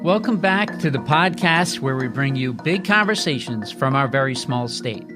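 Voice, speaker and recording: A man with a Rhode Island accent hosting a news podcast interview, with a measured, scripted delivery. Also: medium pitch, animated. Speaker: podcast host. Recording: music playing underneath. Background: background music.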